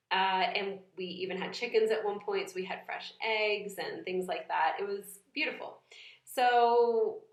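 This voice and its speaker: A young woman from New England talking thoughtfully in a casual vlog, pausing and restarting often. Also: fairly low pitch. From New England, United States. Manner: thoughtful, hesitant, many fillers and restarts. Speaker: vlogger. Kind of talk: casual reflective vlog talk. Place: home room. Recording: echoey room.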